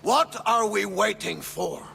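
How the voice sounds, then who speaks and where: A man's voice: high-pitched, lively intonation, slow, gravelly, sharp tone, soft background music, full character voice. An actor playing a haughty, impatient, dismissive Spartan king in a video game.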